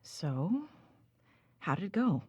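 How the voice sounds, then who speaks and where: A woman's voice: on the low side, big pitch swings, takes it slowly, warm tone, breathy edge, lo-fi sound, full character voice. An actress playing a frank, analytical female researcher with a candid, conversational, probing way of talking. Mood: curious, concerned. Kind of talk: audio drama conversation.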